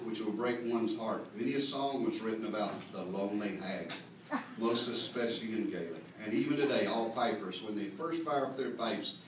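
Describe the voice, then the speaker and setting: In a heavily reverberant room, picked up from a distance over faint noise, a man delivers a humorous lecture. He explains things with mock earnestness and drops in folksy asides. His pitch sits in an ordinary male middle range. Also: normal speed. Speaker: speaker reading a humorous mock history at a clan meeting. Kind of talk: tongue-in-cheek comic lecture read to an audience. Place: indoor meeting room with live audience.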